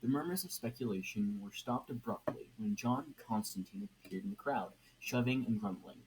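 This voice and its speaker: A man reading scripted fiction aloud as an amateur narrator, halting and stumbling a little over the text. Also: lowish voice, darkish tone. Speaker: podfic co-reader. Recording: noisy amateur home recording.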